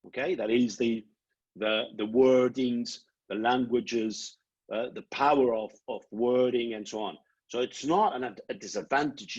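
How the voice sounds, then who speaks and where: A middle-aged Italian man with an Italian accent, answering prospective students' questions on a video call, halting and repeating words as he explains. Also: medium pitch, a little mellow, slightly rough. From Italy. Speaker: programme director. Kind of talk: online Q&A answers. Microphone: laptop webcam video call. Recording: dull lo-fi video call audio.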